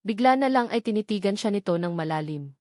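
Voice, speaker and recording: A woman's voice: medium pitch, lively intonation, brisk, a little mellow, no room sound, clean studio sound. A woman reading a scripted dramatic story as its narrator.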